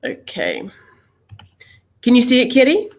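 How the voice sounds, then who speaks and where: A woman's voice: on the high side, huge pitch swings, measured pace, vocal fry. A woman, a university recruitment coordinator giving a friendly webinar presentation over a video call. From New Zealand.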